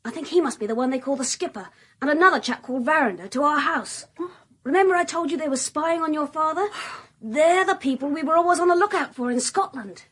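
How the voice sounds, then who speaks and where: A woman playing a brave, sharp young English boy in a radio drama, talking earnestly. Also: high-pitched, quick pace. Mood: urgent. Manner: earnest and urgent.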